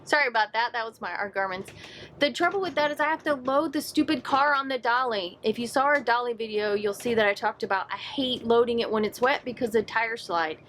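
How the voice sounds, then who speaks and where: A woman's voice: on the high side, fairly quick, a bit bright, a little hiss or hum. Chatty woman RV vlogger. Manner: chatty, upbeat, often laughing. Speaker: RV travel vlogger. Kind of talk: casual vlog chat to camera.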